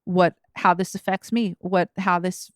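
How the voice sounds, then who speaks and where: A woman's voice: lowish voice, flat delivery, a little slow, no room sound, studio quality. A woman from Los Angeles, a rapper guest on a podcast, chatting casually with lots of fillers, restarts and laughs. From Los Angeles, USA. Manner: rambling, filler-heavy, laughs often.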